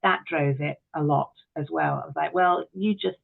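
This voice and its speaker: A woman's voice: sits low, moderate pace, creaky, slightly rough. A middle-aged British woman chatting candidly and with self-deprecation as an interview guest, reflecting on her own experiences. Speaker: podcast guest, actress, director and writer. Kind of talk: conversational interview, reflective personal anecdotes. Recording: thin phone-like call audio.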